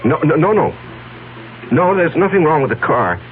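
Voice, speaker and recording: An actor playing a scheming, nervous businessman with a halting, evasive manner in a 1970s radio drama. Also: a little high, very animated, music in the background. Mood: tense, businesslike. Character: scheming, nervous businessman covering up his misdeeds. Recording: noisy, tinny old radio broadcast with hum.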